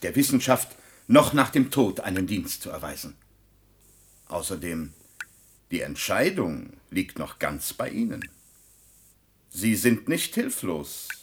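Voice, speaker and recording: An actor playing a callous, impatient scientist doctor in a science-fiction radio play, clinical and brusque in manner. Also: male voice, lowish voice, very animated, a little slow, a little hiss or hum. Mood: cool, dismissive. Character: callous, impatient male scientist doctor, coolly self-justifying. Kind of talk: German science-fiction radio drama acting.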